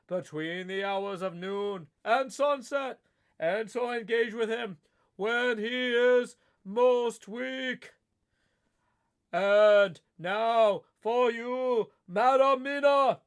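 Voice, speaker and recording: Man voicing a solemn old professor, high and slow. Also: clean tone. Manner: measured, solemn, deliberate. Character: elderly scholarly professor leading vampire hunters. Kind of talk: dramatic reading aloud.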